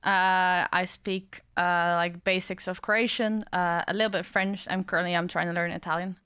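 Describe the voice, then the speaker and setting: Podcast guest: Dutch-accented woman, chatty, fillers, crisp bright voice. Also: lowish voice, steady tone, normal speed, no room sound, clean studio sound. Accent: Dutch-influenced English. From Netherlands. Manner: chatty, laughs easily, many fillers. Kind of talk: casual chat.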